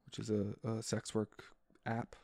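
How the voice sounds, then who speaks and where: A man's voice: lowish voice, completely flat delivery, unhurried, creaky, mellow, no room sound. A man casually talking through a film's scenes with a co-host, describing shots and framing in detail. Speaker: podcast co-host. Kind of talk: casual film discussion.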